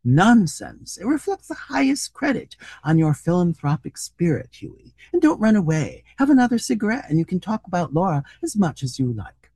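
A reader voicing an amused, worldly young English gentleman in a storybook, bantering in exclamations. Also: mid-range voice, very animated, normal speed, hardly any pauses, slightly rough, no echo. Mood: cheerful, reassuring.

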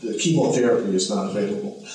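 Cuban-born man, formal testimony, measured and earnest, very roomy sound, off mic. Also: on the low side, a little mellow, distant mic. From Cuba. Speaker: witness testifying at a hearing. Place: large hearing room. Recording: roomy hall audio from off mic.

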